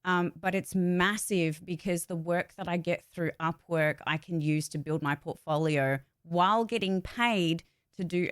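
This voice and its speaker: A woman with a clear, smooth voice set a little low talks in a chatty, rambling way, as a streamer would to her viewers. The sound is dry, with hardly any room on it. Her delivery is informal and conversational. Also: no echo. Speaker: voice actor and streamer giving updates. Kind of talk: casual livestream chat.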